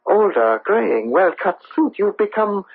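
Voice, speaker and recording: A man playing an old immigrant shopkeeper, anguished and vengeful, in a halting, intense radio drama style. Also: high voice, big pitch swings, vocal fry, slightly rough, exaggerated voice. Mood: stunned recognition. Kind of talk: 1970s radio drama acting. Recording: tinny, band-limited old radio broadcast.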